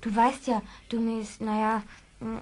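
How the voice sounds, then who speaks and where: Radio play part, actress: careful girl, slightly high voice, thoughtful and pondering. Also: flat delivery, moderate pace, noisy background, lightly acted. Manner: pondering, hesitant hums. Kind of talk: children's radio play acting.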